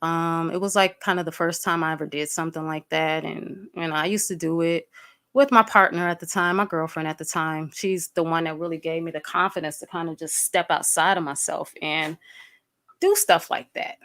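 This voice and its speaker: A woman's voice: low-pitched, steady tone, normal speed, fairly bright tone. A woman in her thirties hosting a casual livestream, blunt, fired up and profane, with lots of fillers, speaking straight to her chat and greeting viewers by name. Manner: greets viewers by name and addresses them directly. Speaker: livestream host.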